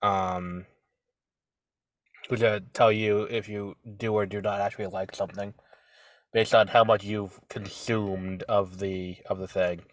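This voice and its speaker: A man's voice: lowish voice, flat delivery, unhurried, no room sound. Congested, nasal man rambling casually. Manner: rambling, hesitant, many fillers and restarts. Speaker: podcast co-host. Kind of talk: casual chat.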